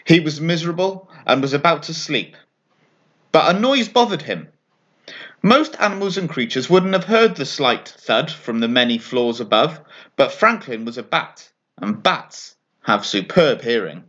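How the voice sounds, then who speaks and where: A man reading a children's bedtime story aloud as an expressive narrator. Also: medium pitch, highs cut off.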